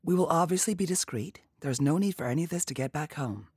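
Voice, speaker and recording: A woman's voice: deep voice, fast, a little mellow, breathy edge, dry recording, clean studio sound, voice pushed up. A woman voicing a persistent, tactful female federal agent in an audiobook, with a probing and controlled manner. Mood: professional, probing.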